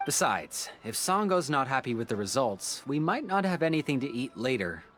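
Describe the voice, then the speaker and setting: A man voicing a friendly, easygoing young male detective in a video game, with a hearty, animated way of talking. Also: medium pitch, normal speed, faint music behind. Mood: cheerful, hospitable.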